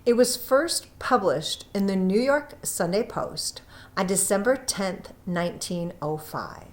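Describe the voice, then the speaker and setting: A woman narrating a classic short story from a script, in a deliberate, expressive storytelling manner with pauses. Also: on the low side.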